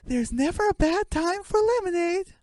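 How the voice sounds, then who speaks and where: Casting: playful young chicken sidekick, high-voiced and eager, radio comedy, played by a woman. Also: animated, moderate pace, darkish tone, no echo.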